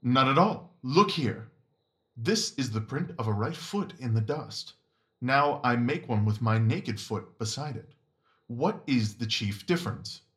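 Bloke doing a keen bossy gentleman detective for an audio drama, formal and clipped, voice swings around a lot. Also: medium pitch, animated, unhurried, close to an everyday voice. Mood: instructive. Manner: instructive, clipped formal phrasing. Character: brisk, commanding, keenly observant gentleman detective. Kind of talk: audio drama acting of Victorian story.

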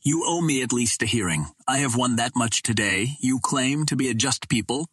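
Male narrator as bold captain, oratorical, challenging, husky, sharp-edged, adventure storybook hero. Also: medium pitch, animated, moderate pace, dry recording. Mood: indignant. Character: bold, defiant human captain rousing alien chieftains.

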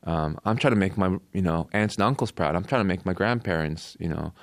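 A man with a Canadian accent telling a personal story casually as an interview guest, in short halting phrases. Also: deep voice, no echo. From born in Korea, raised in Canada.

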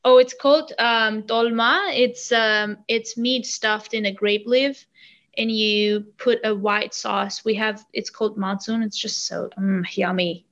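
A woman's voice: medium pitch, steady tone, measured pace, a bit bright, highs cut off. A young woman from Armenia, a native Armenian speaker, talking about her songwriting in an interview, hesitant and restarting her sentences as she searches for words. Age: young adult. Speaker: singer. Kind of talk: casual interview.

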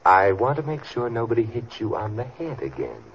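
An actor playing a suave, dryly witty gentleman sleuth in an old-time radio drama, speaking in an unhurried, wry way. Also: fairly low pitch, big pitch swings. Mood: curious, firm. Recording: dull, noisy old radio recording.

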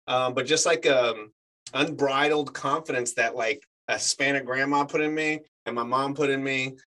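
A man's voice: mid-range voice, normal speed, low-quality recording. A man in his forties whose first language is Spanish, chatting casually as an actor guest and telling stories with lots of fillers. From United States. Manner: animated storyteller, many fillers. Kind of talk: casual chat.

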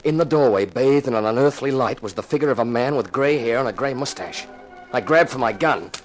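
An actor playing a hard-boiled, cool-headed investigator who also narrates a 1950s radio mystery in a wry, matter-of-fact way. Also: male voice, medium pitch, brisk, faint music behind, lightly acted, poor audio. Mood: tense, narrating. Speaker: narrator and lead.

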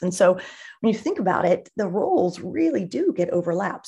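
A woman's voice: on the low side, vocal fry, darkish tone, breathy edge. A woman speaking as an interview guest, explaining things conversationally and enthusiastically, repeating words for emphasis. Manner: emphatic word repetition. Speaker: interview guest, marketing executive.

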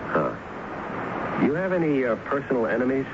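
An American actor playing a dogged, wry police lieutenant in 1970s radio drama, talking in a dry, colloquial, probing way. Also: male voice, medium pitch, very animated, normal speed. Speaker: radio drama actor, lead detective and narrator. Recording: muffled, noisy 1970s radio recording.

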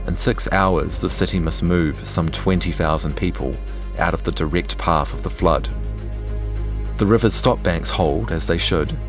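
A man reading scripted documentary narration in a measured, serious storytelling manner. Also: very deep voice. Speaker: journalist narrator.

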